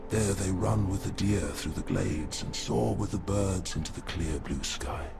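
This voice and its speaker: Extremely deep, solemn village elder. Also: male voice, a little slow, creaky, noisy background. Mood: solemn consolation. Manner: solemn, consoling, mournful. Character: grave, weary, solemn male village elder. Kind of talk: video game character dialogue.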